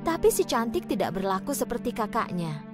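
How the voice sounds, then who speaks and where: A woman narrating a cartoon fairy tale in an expressive, storytelling manner over background music. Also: a little high, a little fast.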